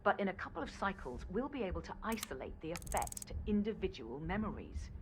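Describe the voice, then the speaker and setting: An actress playing an upbeat, idealistic scientist in a video game, speaking with eager, persuasive conviction. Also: female voice, lowish voice, sing-song, fairly quick, noisy background. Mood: enthusiastic. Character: upbeat, idealistic scientist pitching her serum. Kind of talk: video game dramatic dialogue.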